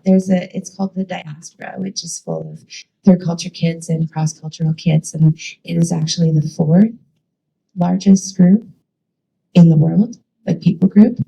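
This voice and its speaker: A woman speaks to a church with a low, husky voice that has a gravelly edge. Her tone is dark and mellow. She talks in a chatty, enthusiastic way, full of fillers. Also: steady tone, unhurried, rough recording. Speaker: church youth ministry leader. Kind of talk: casual church talk.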